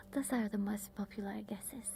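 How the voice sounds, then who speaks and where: A woman with an Italian accent, softly whispering while chatting with viewers on an ASMR live stream. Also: mid-range voice, background noise. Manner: soft whispering.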